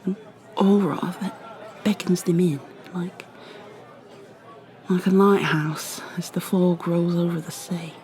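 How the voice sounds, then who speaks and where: A woman playing a kindly, chatty tavern keeper in an ASMR roleplay, rambling gently with fillers and slipping into whispers. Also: lowish voice, flat delivery, takes it slowly, pauses often, some chatter behind. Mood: gossipy.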